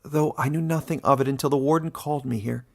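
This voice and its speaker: An earnest woman traveler from a fantasy storybook, as a male reader plays her. She is loyal and urgent, pleading her case. The voice carries some creak. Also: on the high side, steady tone, fast talker, voice pushed up.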